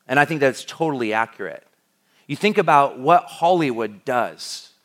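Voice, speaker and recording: A man's voice: mid-range voice, expressive, moderate pace. Conversational male pastor preaching. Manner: conversational, with frequent restarts and fillers. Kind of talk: sermon.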